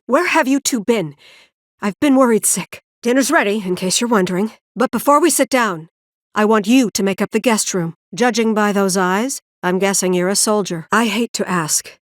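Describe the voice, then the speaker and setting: A woman playing a weary, protective middle-aged mother in a video game, speaking in an earnest, measured, maternal way. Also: mid-range voice, no echo, studio quality, lightly acted. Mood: mildly scolding, then guarded, stern. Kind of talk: naturalistic video game voice acting.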